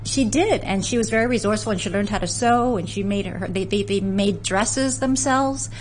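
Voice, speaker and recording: A woman's voice: mid-range voice, moderate pace, slight background noise, low-quality recording. A woman from San Francisco, a guest in an interview, telling family history in an earnest, storytelling way with frequent restarts. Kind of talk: conversational interview.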